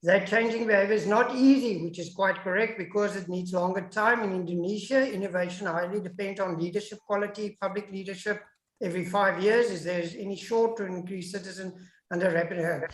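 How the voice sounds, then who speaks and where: A woman's voice: medium pitch, fairly quick. A South African woman whose first language is Afrikaans, giving an emphatic webinar lecture over an online call as a guest academic. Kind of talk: webinar lecture with audience questions. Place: indoors, online video call. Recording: echoey room on online call.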